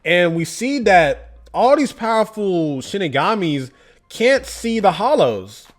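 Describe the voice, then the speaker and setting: A man's voice: high voice, sing-song, slow. A man giving a casual, chatty manga review, with lots of fillers and frequent laughs. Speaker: anime and manga YouTuber.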